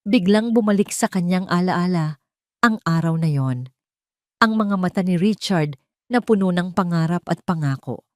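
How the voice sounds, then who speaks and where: Low-pitched Filipino woman narrating with lively intonation. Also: normal speed, no echo, clean studio sound. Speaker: audio drama narrator. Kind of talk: dramatic scripted storytelling narration.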